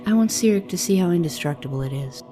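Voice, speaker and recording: A woman's voice: on the low side, lively intonation, fast, warm tone, soft background music, close to an everyday voice. A woman acting understated, dry, battle-worn heroes in a fantasy audio drama. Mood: wry.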